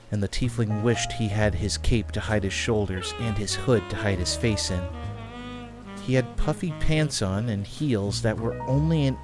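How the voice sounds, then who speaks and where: A man reading scripted fantasy story narration as the narrator of an audio drama. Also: fairly low pitch.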